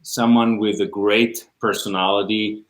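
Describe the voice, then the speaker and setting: Soft-spoken Israeli man, echoey room. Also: lowish voice, flat delivery, a little slow. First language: Hebrew. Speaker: music teacher, guest. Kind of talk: casual interview chat.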